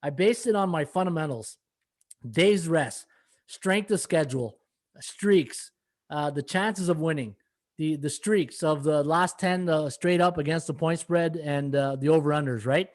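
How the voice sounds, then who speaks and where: A slightly hoarse middle-aged man hosting a live sports betting podcast, rambling through stats and viewer comments with many fillers. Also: a little high, unhurried. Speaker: podcast host and sports handicapper. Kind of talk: casual live-stream betting analysis.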